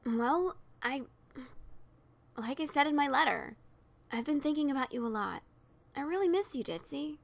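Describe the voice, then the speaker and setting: Boastful adventurer pony mare w/ scratchy gravelly voice, woman puts it on, teasing audiobook voice. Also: sits high, expressive, moderate pace, long pauses, pitched above the performer's own voice. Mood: sheepish. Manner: cocky, giggly teasing. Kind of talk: audiobook character reading.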